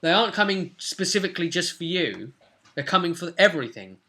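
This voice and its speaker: A young man with a slightly high, bright voice talks casually as host. He chats about a tabletop game session as its game master, speaking into a webcam in a small home room. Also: sing-song, a little fast. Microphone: webcam at arm's length.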